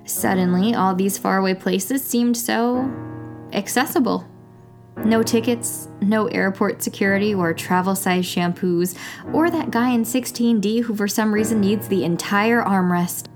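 A woman's voice: medium pitch, unhurried, music in the background, close to an everyday voice. A woman playing a wry, reflective watchtower broadcaster in an audio drama, narrating in an intimate scripted style. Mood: wry, musing. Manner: reflective, dryly humorous.

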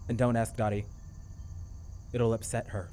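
An actor playing a cryptic, quietly protective man in an audio drama, speaking tersely and in a low-key, naturalistic way. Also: flat delivery, moderate pace, a little hiss or hum, natural voice. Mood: guarded, secretive.

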